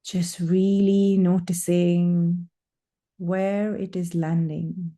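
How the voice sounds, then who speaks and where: A woman leading a guided breathing meditation, speaking in slow, soothing, drawn-out phrases with long pauses. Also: lowish voice, flat delivery, warm tone.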